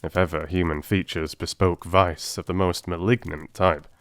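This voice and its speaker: A man narrates in a fairly low voice. His delivery is measured, grave and theatrical, as in a dramatised first-person audiobook. The sound is dry, with no room around it. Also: steady tone, no room sound.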